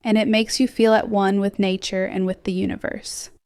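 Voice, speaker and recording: A woman gently guiding a sleep hypnosis meditation in a slow, soothing, scripted delivery with softly trailing phrases. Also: mid-range voice, little pitch movement, clear, resonant tone, no room sound, clean studio sound. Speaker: hypnosis guide.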